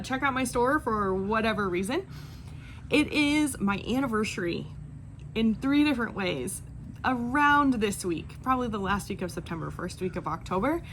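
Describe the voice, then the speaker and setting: A woman in her forties chatting casually in a vlog, rambling and self-deprecating as she goes. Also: on the high side. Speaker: video creator, online clothing reseller. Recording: clear background noise, room ambience.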